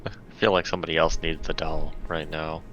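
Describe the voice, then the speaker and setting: A man with a very deep yet forceful, bright voice chats casually in a tabletop roleplaying game. He comes through a dull, lo-fi mic with faint noise. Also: level tone, a little fast. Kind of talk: casual in-character game chat.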